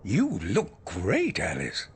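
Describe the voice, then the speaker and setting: An actor playing a middle-aged spaceship captain in a radio drama, concerned, protective and urgent. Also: male voice, fairly low pitch, wildly swooping pitch, slow pace, husky, heavily acted. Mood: friendly. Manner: urgent, reassuring.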